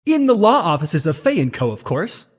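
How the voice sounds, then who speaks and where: An earnest young male defense attorney in a game drama. His voice sits a little high and swings expressively, with emphatic, declamatory phrasing and a sardonic edge. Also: big pitch swings, normal speed, heavy background noise. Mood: thoughtful, assertive. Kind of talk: dramatic voiced-over video game courtroom dialogue. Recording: music behind the voice.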